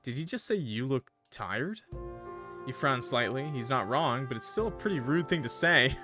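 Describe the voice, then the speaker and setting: A man's voice: medium pitch, sing-song, moderate pace. Man reading a game aloud, music behind. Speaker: gaming streamer. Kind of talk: reading a visual novel aloud with casual reactions.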